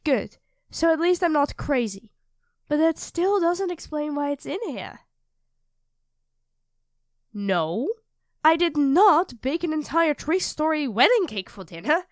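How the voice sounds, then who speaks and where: A woman plays a young girlfriend with a high-pitched voice, recorded close and dry. She is doting and playful, teasing her partner with expressive turns of phrase. It has the intimate feel of an ASMR roleplay audio drama. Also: no echo, exaggerated voice. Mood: bewildered. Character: affectionate, teasing young live-in girlfriend cooking dinner.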